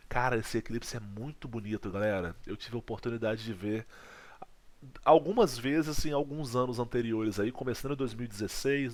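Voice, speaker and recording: A man from Brazil teaching a physics video lesson, checking in with his students often. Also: medium pitch. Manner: lively teacher, checks in with "legal?" and "tá?".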